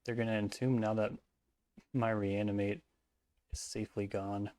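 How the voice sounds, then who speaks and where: A man casually commentating his own card game match, thinking aloud in mumbled asides, his voice hoarse and cracking at times. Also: lowish voice, little pitch movement, moderate pace, a little mellow.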